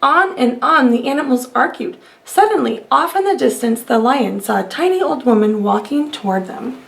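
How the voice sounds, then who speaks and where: A woman reading aloud to children as a storyteller, expressive and asking her listeners questions. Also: sits high, moderate pace, gravelly, a little hiss or hum.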